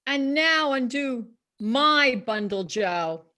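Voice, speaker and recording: Woman acting brazen, high-voiced Victorian charwoman. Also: expressive, slow, sharp tone, exaggerated voice. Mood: unashamed. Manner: brazen, scornful, emphatic. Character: bold, defiant, unrepentant Victorian charwoman selling stolen goods. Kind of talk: dramatic reading of a classic story.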